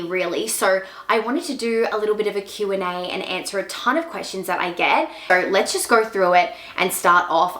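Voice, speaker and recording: A woman's voice: mid-range voice, pushed and bright, reverberant. A woman, chatting casually to camera in a Q&A vlog, full of "like" and "um". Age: 20s. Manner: chatty, many fillers like "like" and "um". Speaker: fitness content creator.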